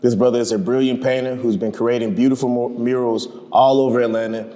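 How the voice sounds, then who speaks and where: Brief: young male host, mid-pitched, friendly casual chat, voice rings in a long reverb. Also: fairly even intonation, fast talker, warm tone. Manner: friendly, easygoing, says bro a lot. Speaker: podcast host.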